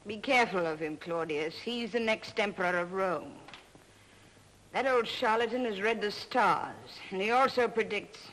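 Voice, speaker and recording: An actress playing an imperious, dying old Roman matriarch in a haughty, commanding manner, in 1930s film style. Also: female voice, mid-range voice, moderate pace, a bit bright, a little hiss or hum, close to an everyday voice, rough recording. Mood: grave, imperious. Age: older. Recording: vintage 1930s film soundtrack.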